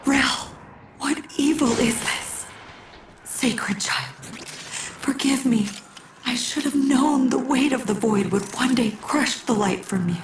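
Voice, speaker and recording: A devout mystical priestess in a video game. Her creaky, breathy voice is solemn and slow like a ritual incantation, set in echoey reverb over music. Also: high-pitched, a little hiss or hum, exaggerated voice. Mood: anguished. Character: devout female cult guardian, solemn, mystical and fervent. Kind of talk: dramatic game voice acting.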